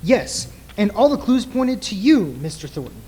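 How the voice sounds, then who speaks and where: A man playing an earnest, grave nightclub mentalist in an old-time radio play, speaking formally and deliberately in a husky voice. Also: high-pitched, very animated, mellow, heavily acted. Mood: solemn.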